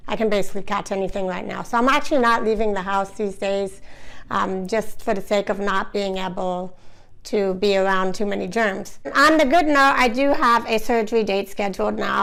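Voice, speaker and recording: A hoarse woman chatting to camera on her own channel, her voice gravelly with fry yet still smooth underneath. She talks loosely and conversationally, leaning on fillers as she goes. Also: medium pitch, low-quality recording. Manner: chatty, many "you know" fillers. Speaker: vlogger, channel host. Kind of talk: casual to-camera chat.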